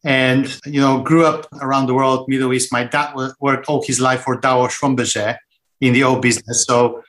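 A middle-aged man from Bulgaria talks through his career as a podcast guest. He speaks thoughtfully, often restarting phrases and reaching for words. His voice is mid-pitched. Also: flat delivery, moderate pace, fairly bright tone, low-quality recording. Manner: thoughtful, with frequent restarts and fillers. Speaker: guest, finance executive. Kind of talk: conversational interview answers.

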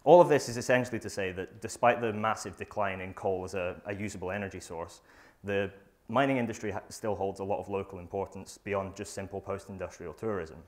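A young man gives a research talk to an audience in a lecture theatre, speaking into a lapel mic. His voice sits a little low, and he reads from his notes, halting often on fillers and restarting phrases. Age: young adult. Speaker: student presenter. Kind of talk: academic conference talk.

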